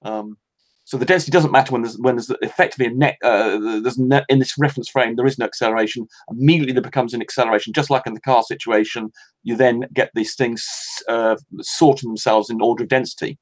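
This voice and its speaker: A man's voice: mid-range voice, steady tone, quick pace, low-quality recording. A man explaining at length in a casual online voice chat, with rambling restarts. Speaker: call guest.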